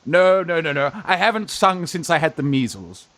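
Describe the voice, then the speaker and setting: A man in a radio farce playing a young fellow who poses as a gruff, flustered old aunt, halting and blustering. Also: fairly high pitch, expressive, fairly bright tone, slight background noise. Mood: evasive, chatty. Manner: blustering, halting, repeats words. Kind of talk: stage farce radio acting.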